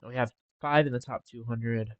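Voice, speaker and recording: A young man talking casually over a game with a congested, stuffy nose and a relaxed, rambling manner. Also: mid-range voice, moderate pace, no room sound, highs cut off. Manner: relaxed, rambling with filler ums. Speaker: gaming channel host. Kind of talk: casual gameplay commentary.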